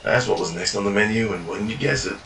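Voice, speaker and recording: A man with a very deep voice tells his story in a tired, world-worn way, swearing as he goes. He sits back from the mic in an echoey room. Steady ambient noise runs underneath. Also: quick pace, vocal fry, recorded from a distance. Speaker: narrator. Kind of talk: first-person fiction journal narration.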